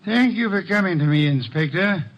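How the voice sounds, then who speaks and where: An actor playing a shrewd, unruffled older detective in an old radio drama, speaking formally and with dry amusement. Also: male voice, sits high, expressive. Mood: grave, courteous. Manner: formal, measured, drily amused. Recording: muffled old radio broadcast recording.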